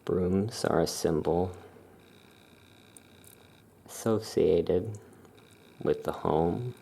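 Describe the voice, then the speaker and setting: Soft-spoken ASMR shopkeeper, unhurried and calm, with a mellow, gravelly tone. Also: deep voice. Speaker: witch shop keeper presenting amulets.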